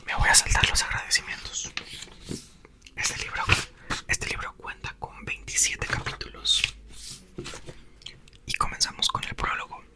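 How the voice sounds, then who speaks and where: Male ASMR reader, breathy whisper, lively bright tone. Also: a little slow, pauses often. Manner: soft unvoiced whisper throughout. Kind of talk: whispered ASMR book reading.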